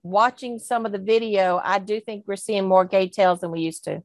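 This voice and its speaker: A woman's voice: mid-range voice, steady tone, moderate pace, no room sound. A woman on a video call panel, talking casually and thinking aloud with rhetorical questions about dog breeding.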